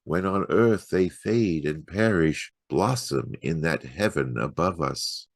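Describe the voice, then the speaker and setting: A man's voice: rumbling low, animated, takes it slowly, no room sound, clean studio sound, heavily acted. A man voicing a tender old grandmother in a poetry reading, soothing and patient as she teaches a child. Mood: patient, explaining.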